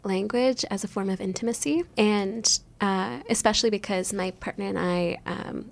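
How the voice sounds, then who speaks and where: A woman's voice: medium pitch, moderate pace, gravelly. A woman whose mother tongue is Farsi, chatting casually and encouragingly with her podcast team. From Iranian family. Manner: warm, encouraging, uses many fillers. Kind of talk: casual chat.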